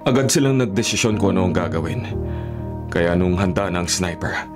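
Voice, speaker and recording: A dutiful young bodyguard in a Filipino radio drama. His Filipino-accented delivery is formal, earnest and restrained. Music is clearly heard underneath. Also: deep voice, lively intonation, a little fast. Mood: humble.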